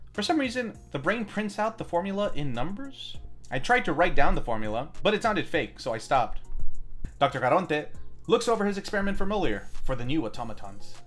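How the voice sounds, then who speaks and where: A man hosting a film review, reading a scripted movie recap with deadpan, wry asides over music. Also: fairly high pitch, brisk. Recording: music bed under the voice.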